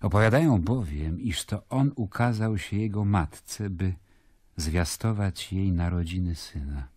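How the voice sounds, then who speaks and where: A weary Roman governor telling his story in a radio drama. His voice is low and slightly husky, and he speaks slowly. The tone is reflective and dryly ironic. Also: a little mellow, dry recording, clean studio sound. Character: Pontius Pilate, weary Roman governor of Judea narrating a report.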